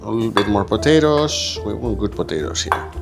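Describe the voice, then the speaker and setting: A man's voice: on the low side, very animated, a little fast, creaky. A man from Egypt giving a casual, chatty cooking demonstration as a home cook, improvising as he goes and checking in with his listeners. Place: kitchen, while cooking. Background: guitar music.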